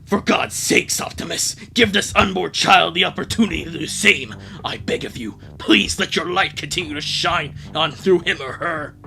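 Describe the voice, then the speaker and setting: A man's voice: a little high, normal speed, creaky, hardly any pauses, bright, forceful tone. A man voicing a stern, wounded robot in a parody fan dub, speaking in a grave, melodramatic way. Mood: imploring.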